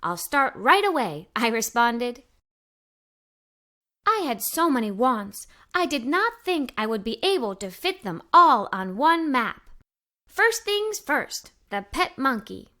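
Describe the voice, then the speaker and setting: A woman reading a children's story aloud in an expressive, enthusiastic way, as an adventurous young girl telling her own tale. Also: a little high, slow pace. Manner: animated, enthusiastic. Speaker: storybook narrator.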